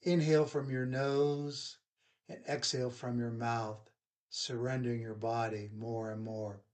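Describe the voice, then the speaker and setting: A man leading a guided yoga nidra relaxation, giving soothing, unhurried instructions with pauses. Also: medium pitch, flat delivery, darkish tone.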